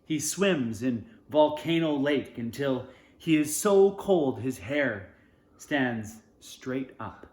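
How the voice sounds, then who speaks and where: A man's voice: medium pitch, expressive, takes it slowly, a little mellow, reverberant. A man reading a children's storybook aloud, expressively, in short phrases with informal asides. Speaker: storybook reader.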